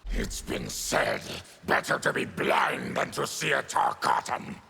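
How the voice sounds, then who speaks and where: An actor voicing a proud, embittered monster warrior in a video game, with a gravelly growl and a grave, formal, forceful manner. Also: male voice, medium pitch, huge pitch swings, a little slow, full character voice. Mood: grim. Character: fierce, embittered male monster warrior, proud former army tribune. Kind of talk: video game character dialogue.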